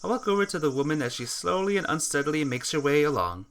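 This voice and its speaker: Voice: mid-range voice, sing-song, fast, a bit bright. A gamer reading a visual novel aloud as narration, now and then tripping over words and correcting the slip. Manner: stumbles over words and corrects himself or herself. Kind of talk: game playthrough narration, reading aloud with character voices.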